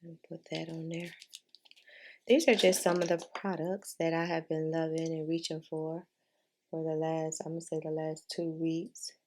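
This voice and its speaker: A woman's voice: deep voice, level tone, normal speed, a little mellow. A woman talking in a casual, chatty way as a beauty vlogger giving a makeup tutorial, informal and repeating herself for emphasis.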